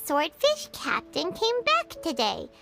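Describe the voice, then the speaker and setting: A woman voicing a small, excitable, helpful fairy companion in a video game, with a squeaky childlike voice and animated delivery. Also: slow pace, gravelly, full character voice. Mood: playful, curious. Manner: animated, exclamatory, refers to self in third person. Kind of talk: video game character acting. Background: music.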